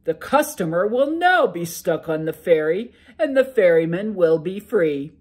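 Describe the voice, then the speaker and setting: Storybook ogre, male, cranky and drowsy, a woman doing the voice, breathy edge. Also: on the low side, big pitch swings, unhurried, voice pushed up. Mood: grumbling. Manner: loud, grumbling, yawning.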